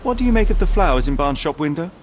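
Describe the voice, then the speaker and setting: An actor playing a shrewd gentleman detective with formal, precise, probing speech in a scripted game. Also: on the high side, very animated, quick pace, a little hiss or hum.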